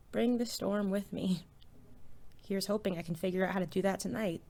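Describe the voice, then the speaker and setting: A woman's voice: medium pitch, a little hiss or hum, natural voice. A woman playing an anxious, self-doubting teenage student in an audio drama, with a hesitant, awkward, wry manner. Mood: nervous, wry. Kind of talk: audio drama acting with first-person narration.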